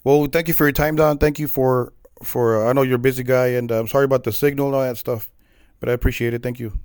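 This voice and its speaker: A man's voice: mid-range voice, steady tone, quick pace, a little mellow, clean tone, no echo, clean studio sound. Friendly male podcast host. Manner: agreeable, many short yeahs and wows. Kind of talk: casual interview and outro.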